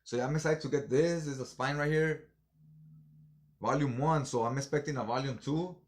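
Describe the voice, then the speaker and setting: A man's voice: medium pitch, fairly even intonation, a little fast. Guy just chatting to viewers like a fan, slangy casual review vlog, regular male voice. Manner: slangy, informal fan talk. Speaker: comic book haul reviewer.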